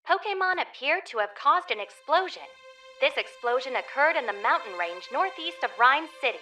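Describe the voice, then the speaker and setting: A woman playing a polished television news reporter in a video game, crisp and formal. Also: high voice, pushed and bright, full character voice.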